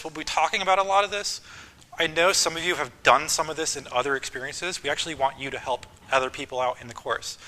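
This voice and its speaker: A man teaching a university course, talking casually with frequent ums and quick lists. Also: medium pitch, sharp tone, rough recording. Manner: casual, list-making, filler ums. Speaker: course instructor. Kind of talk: university lecture.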